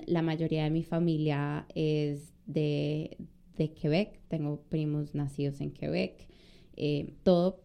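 A middle-aged woman co-hosting a podcast in an easy, conversational way. Her voice sits fairly low, mellow and smooth, and she often restarts her phrases as she thinks aloud. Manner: hesitant, many restarts and fillers. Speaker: immigration lawyer and podcast co-host. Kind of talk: casual conversational chat.

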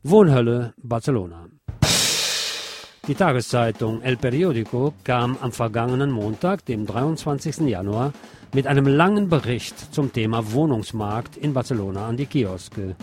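A man's voice: medium pitch, animated, normal speed, music in the background. A man reading scripted radio news and commentary, adding opinionated asides of his own.

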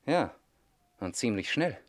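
An actor playing a sardonic, grumbling young man, brisk and jealous, in a 1960s German radio play. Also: medium pitch, sing-song. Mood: brisk, encouraging.

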